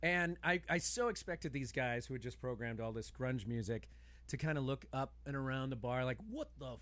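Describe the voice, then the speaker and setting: Mid-pitched man in earnest casual chat. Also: normal speed, fairly bright tone, no room sound. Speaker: podcast guest, actor.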